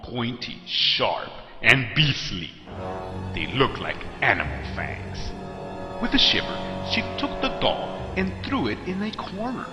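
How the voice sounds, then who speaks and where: Guy reading a horror story, really animated pitch swings, music playing behind him. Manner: dramatic, suspenseful storytelling delivery. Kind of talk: scripted scary story narration. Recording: echoey long reverb, music behind. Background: clear background music.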